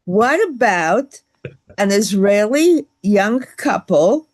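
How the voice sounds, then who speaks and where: A woman's voice: mid-range voice, lively intonation, takes it slowly. A woman in the audience of a class asking the rabbi a question.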